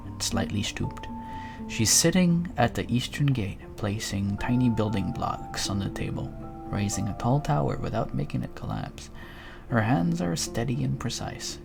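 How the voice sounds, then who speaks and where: A man reading game text aloud as an expressive narrator. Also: fairly low pitch, gravelly. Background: music.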